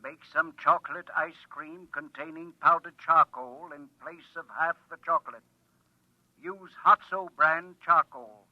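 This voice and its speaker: Robot announcer in old radio show, cool n exact, kinda high voice, muffled old recording. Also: male voice, steady tone, unhurried, no echo. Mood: impersonal, matter-of-fact. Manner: impersonal, precise announcer delivery. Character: talking machine coolly dispensing deadly advice. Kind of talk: old-time radio drama acting.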